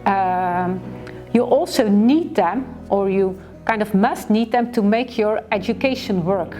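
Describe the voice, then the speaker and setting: A woman's voice: medium pitch, a little slow, dark tone, faint music behind. A woman from Belgium, a professor, speaking thoughtfully with some hesitation in a documentary interview.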